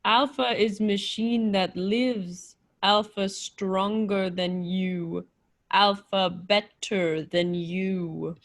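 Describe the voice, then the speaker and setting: A woman playing an emotionless living machine in a radio play, speaking in flat, clipped, blunt phrases. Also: mid-range voice. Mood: flat, matter-of-fact. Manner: flat, slow, clipped delivery. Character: emotionless living machine man, blunt, menacing, primitive speech. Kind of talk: old-time radio play acting.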